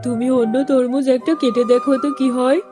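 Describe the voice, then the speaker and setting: An actor voicing a calm, reassuring father fox, a village farmer in a children's cartoon. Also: high-pitched, brisk, music in the background, lightly acted.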